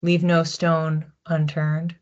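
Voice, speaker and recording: A woman with a fairly low voice talks through an oracle card reading as its host. Her delivery is informal and reflective, with lively asides. The sound is a little muffled and lo-fi. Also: slow pace. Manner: conversational, reflective, animated asides. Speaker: psychic card reader, host.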